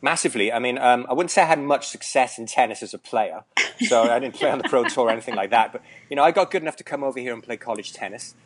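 Welsh guy in his 40s, talks quick, lots of ums and restarts, kinda joking. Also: mid-range voice, a little hiss or hum. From Wales. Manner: joking, rambling with many fillers and restarts. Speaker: tennis coach, guest. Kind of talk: casual interview talk.

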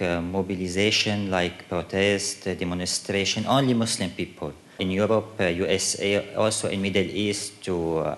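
Deep-voiced Syrian man, halting English. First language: Arabic. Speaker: audience questioner. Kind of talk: unscripted question at a town hall.

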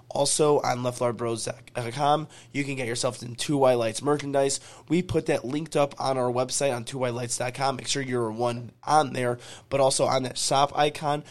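Man, hoarse voice, podcast host reading ads, flat monotone delivery. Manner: upbeat salesy pitch, repeats promo details. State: hoarse, losing his voice. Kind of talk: podcast intro and ad reads.

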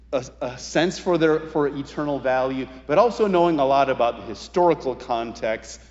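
Male preacher, medium register, thoughtful and measured sermon with weighted pauses; dull-sounding, reverberant hall recording. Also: mid-range voice, darkish tone.

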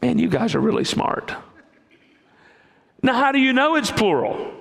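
A man preaching in a church sanctuary, his voice high for a man. He talks conversationally and keeps putting questions to the congregation. The sound carries a long, hall-like reverb. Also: big pitch swings, measured pace, creaky. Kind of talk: interactive sermon with congregation.

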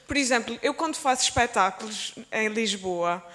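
High-voiced Azorean woman in a reverberant hall. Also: lively intonation, moderate pace, sharp tone. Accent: Azorean Portuguese. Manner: hesitant, restarts words. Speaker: panelist, stage performer. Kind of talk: panel discussion. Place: public event space.

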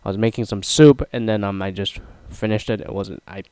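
Nasal stuffy-nosed man, rambling host, smooth clear voice, dry close sound. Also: fairly low pitch, steady tone, fairly quick, a little mellow, no room sound. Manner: rambling, self-mocking, many fillers. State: stuffy nose, voice half gone. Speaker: podcast host. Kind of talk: casual solo talk show.

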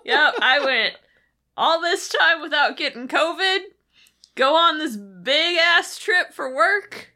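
A woman co-hosting a podcast in casual banter, wry and quick to interject with jokes. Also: high-pitched, lively intonation, bright, forceful tone. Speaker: podcast co-host.

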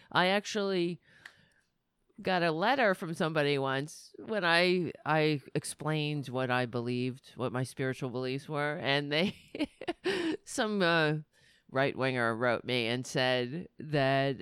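A woman's voice: sits low, fairly bright tone, smooth, no room sound, clean studio sound. A woman hosting a livestreamed political talk show, talking in a chatty, rambling and sardonic way.

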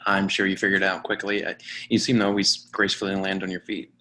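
Man playing lovesick young guy, bright low voice. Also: monotone. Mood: smitten. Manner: hesitant, halting. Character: lovesick awkward 24-year-old man, self-deprecating. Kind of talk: play reading, confessional monologue.

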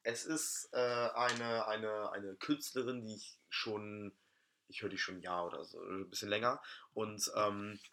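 A young man co-hosting a casual podcast, talking in a slangy, halting way with many fillers. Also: lowish voice, fairly even intonation, sharp tone, a little hiss or hum. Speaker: podcast co-host. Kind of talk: casual chat.

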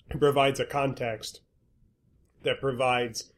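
Man who trails off in halting restarts. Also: mid-range voice. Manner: halting, repeats words while searching for his point. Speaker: podcast co-host. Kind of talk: casual conversational chat.

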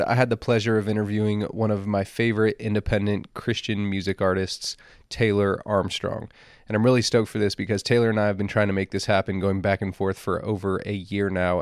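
A man hosting a podcast, eager and casual, introducing and interviewing a guest with relaxed slang. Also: on the low side, clear, resonant tone, no echo, studio quality. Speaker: podcast host.

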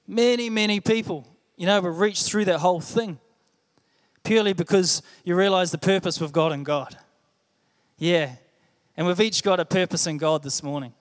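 A young man with a New Zealand accent preaches a sermon in a high, light voice. He talks earnestly and conversationally, often doubling back to repeat his words. The sound carries a long echo from a church hall. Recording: echoey hall.

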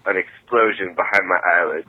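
A man's voice: low-pitched, fairly even intonation, fairly quick, slightly rough. A man leaving a short voicemail question as a caller, recorded over a telephone line. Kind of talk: brief voicemail message.

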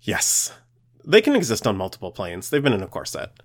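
Male podcast co-host, lowish voice, explains lore conversationally, somewhat halting delivery. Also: wildly swooping pitch.

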